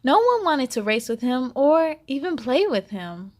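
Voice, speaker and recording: A woman hosting children's story time, reading aloud and talking to young listeners in a deliberate, clearly phrased way. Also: sits high, big pitch swings. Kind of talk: animated children's read-aloud narration.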